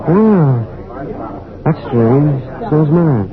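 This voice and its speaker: A genial townsman in an old radio play. He chats in a folksy, high, lively voice over crowd murmur on a dull recording. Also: extremely slow, background noise. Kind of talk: old-time radio drama acting.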